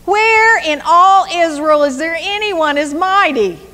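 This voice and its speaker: A woman's voice: on the high side, lively intonation, measured pace, in a large hall. A woman voicing a young male warrior who taunts his enemies in a sing-song, mocking way. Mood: taunting.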